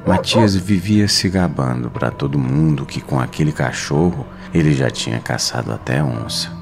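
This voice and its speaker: A Brazilian man narrating a scripted horror story in a dramatic, suspenseful manner with deliberate pauses. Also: a bass voice. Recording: narration over clear background music. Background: music.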